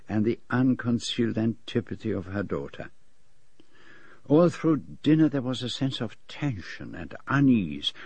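An actor playing a courteous, observant middle-aged foreign detective in a radio drama, speaking and narrating in formal, measured, precise phrases. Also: male voice, medium pitch, a little mellow, slightly rough, dry recording. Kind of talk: BBC radio drama dialogue and first-person narration.

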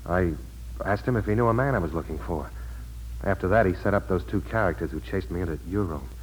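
A man's voice: low voice, a little fast, a little hiss or hum, natural voice, rough recording. A man playing a guarded, weary, self-doubting war veteran in a 1940s radio drama, with terse, downbeat speech.

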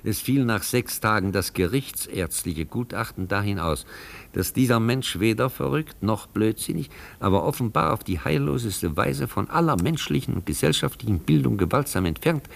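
An actor playing a kindly, educated professor in a German radio play, explaining things patiently and earnestly. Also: male voice, fairly low pitch, animated, gravelly. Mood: solemn reading. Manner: genial, explanatory, slightly halting.

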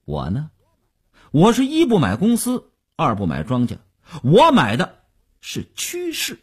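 A man's voice: lowish voice, very animated, husky, heavily acted. A man playing a smug, boastful stock speculator who cajoles his partner in chatty audiobook dialogue. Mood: smug, excited. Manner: chatty, cajoling, with drawn-out particles and chuckles.

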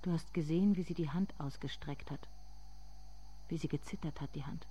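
An actor performing a literary radio drama monologue as a haunted inner narrator, speaking in deliberate, intense fragments. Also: mid-range voice, dark tone, slight background noise, lightly acted. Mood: brooding, restrained.

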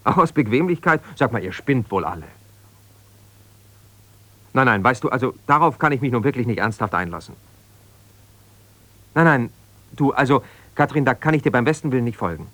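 An actor playing a wounded, self-justifying husband in a naturalistic radio drama, talking in a hesitant, searching, conversational way. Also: male voice, medium pitch, lively intonation, moderate pace, pauses often, pushed and bright, no echo. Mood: indignant, defensive. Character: defensive, wounded husband pouring out his troubles to a confidante. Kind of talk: naturalistic 1970s German radio drama monologue.

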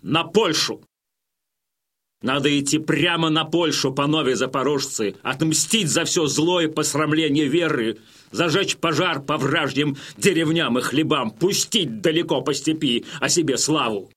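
An actor playing a stern Cossack war leader, speaking in a declamatory, rousing manner for a dramatised audiobook. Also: male voice, fairly high pitch, measured pace, a bit bright, husky. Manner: declamatory, oratorical.

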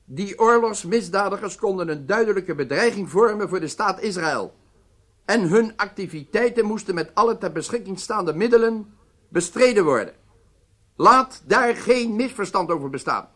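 A male actor playing a stern head of state in a radio drama, speaking formally and deliberately with emphatic phrasing. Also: high-pitched, lively intonation, pushed and bright, heavily acted. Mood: firm, formal.

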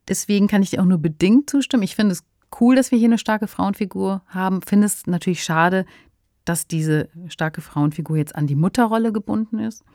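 A woman's voice: fairly low pitch, warm tone, no room sound, clean studio sound. A woman, co-hosting a podcast in a conversational, discursive style. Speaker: podcast co-host. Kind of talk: conversational radio drama discussion.

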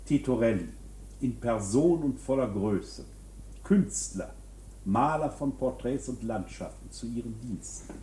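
Theatrical, self-important painter, male actor; quiet grandiose delivery, mid-pitched, echoing and noisy radio drama recording. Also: animated, measured pace, far from the mic, lightly acted. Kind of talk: German radio drama acting.

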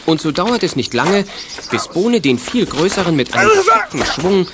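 A man's voice: sits high, huge pitch swings, normal speed, heavy background noise, exaggerated voice. A man acting as narrator of a youth detective radio play, reading scripted adventure narration. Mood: storytelling. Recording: music under the voice.

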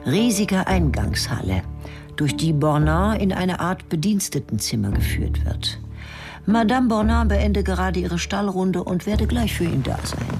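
A woman's voice: fairly low pitch, animated, measured pace. A woman narrating a radio drama in a terse, clipped, matter-of-fact way. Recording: studio radio play with music.

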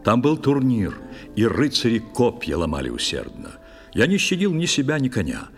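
A man's voice: mid-range voice, moderate pace, in a large hall. An actor playing a proud, ardent young knight who tells his adventures in dramatic verse for a children's audio play. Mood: animated recollection. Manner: dramatic, expressive declamation. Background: faint music.

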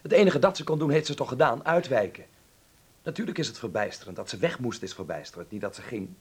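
An earnest, husky, animated young man. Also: medium pitch, quick pace. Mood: urgent, insistent. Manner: earnest, argumentative, persuasive. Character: reasoning, earnest son in a wartime family. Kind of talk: radio play acting.

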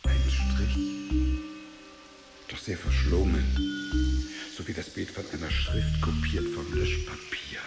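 A man playing a tormented, world-weary merchant full of guilt and longing for death, in solemn, deliberate radio drama delivery. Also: low voice, steady tone, gravelly, reverberant, loud music behind the voice, full character voice. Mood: bleak brooding. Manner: grave, deliberate, declamatory delivery.

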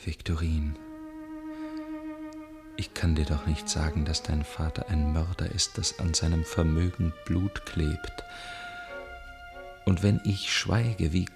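An actor playing a tormented, lovelorn gentleman torn by conscience, speaking formally and earnestly in a radio drama. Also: a bass voice, fairly even intonation, takes it slowly, pauses often, music in the background, exaggerated voice. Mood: anguished. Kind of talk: German radio drama acting, narration and dialogue.

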